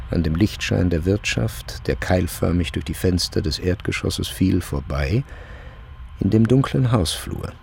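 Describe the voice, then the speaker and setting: A man narrating a literary radio play in a measured, sober and precise manner. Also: low-pitched, vocal fry, darkish tone, a little hiss or hum.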